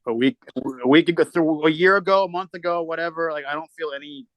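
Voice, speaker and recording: A man's voice: a little high, fast, dry recording, low-quality recording. A man in his thirties, co-hosting a casual podcast chat, talking candidly and swearing now and then. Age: 30s. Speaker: podcast co-host.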